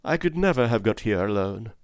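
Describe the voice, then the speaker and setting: A man's voice: fairly low pitch, animated, a little fast, dry recording, clean studio sound. A man voicing a poor young country mother, anxious and humble, pleading politely in a storybook reading. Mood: grateful.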